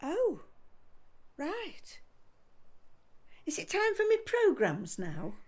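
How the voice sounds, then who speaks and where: A muddled old gran in an audio play, high voice, really up and down, forgetful and blunt. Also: female voice, wildly swooping pitch, drawn-out words, full character voice. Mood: vague. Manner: muddled, repeating questions, trailing into murmurs. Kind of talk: audio drama acting.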